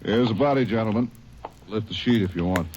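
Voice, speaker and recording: A man's voice: on the low side, fairly quick, natural voice. An actor playing a brief, matter-of-fact male doctor in a 1940s radio drama. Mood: businesslike. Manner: brief, businesslike. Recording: hissy lo-fi vintage radio recording.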